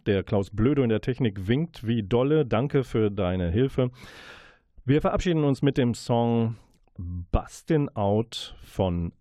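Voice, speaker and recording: A man's voice: medium pitch, dark tone, dry recording, clean studio sound. A man hosting a radio show, addressing listeners in an informal, chatty way as he would in a sign-off. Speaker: radio host. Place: radio studio.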